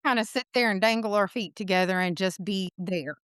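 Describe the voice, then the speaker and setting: A woman's voice: medium pitch, brisk, smooth, no echo, studio quality. A woman in her forties with a Southern American accent, a podcast guest chatting casually and laughing easily. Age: 40s. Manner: laughs easily, talks in loose run-on sentences. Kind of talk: casual conversation.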